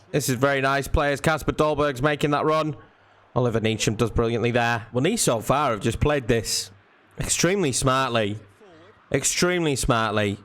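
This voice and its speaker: A young man giving lively play-by-play commentary over his football video game, rising sharply at chances and goals. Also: mid-range voice. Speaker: gaming YouTuber.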